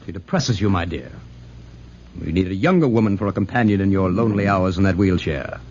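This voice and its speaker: An actor playing a cruel, grandiose big-game hunter and controlling husband, theatrical and condescending, in 1940s radio drama style. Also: male voice, sits low, lively intonation, brisk, a little mellow. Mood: cold, condescending. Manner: theatrical, condescending, swelling to wild exultation. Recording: muffled, noisy 1940s radio recording.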